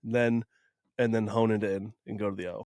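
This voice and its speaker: A man's voice: fairly low pitch, level tone, normal speed, darkish tone, no room sound, clean studio sound. A man from Wisconsin hosting a podcast in casual chat, joking and self-deprecating. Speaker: podcast host.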